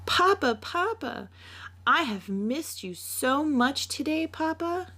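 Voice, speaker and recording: Woman voicing a high-pitched, adoring little girl. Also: sing-song, takes it slowly, sharp tone, heavily acted. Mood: excited, adoring. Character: eager, adoring little girl, sweet and curious. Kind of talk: storybook character voice.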